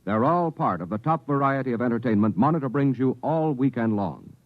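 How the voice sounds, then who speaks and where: A man reads a brisk radio promotion from the 1950s. The sound is thin and phone-like, with a dry, close feel. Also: mid-range voice, animated, no echo. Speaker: radio host. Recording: tinny old radio broadcast.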